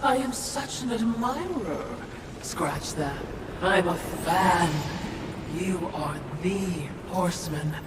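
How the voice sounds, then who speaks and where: An actress playing a sly, fawning demonic servant in a video game, with an oily, gushing, theatrical manner. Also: female voice, lowish voice, animated, slow pace. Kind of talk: video game cutscene acting. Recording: distant, echoing, loud background noise.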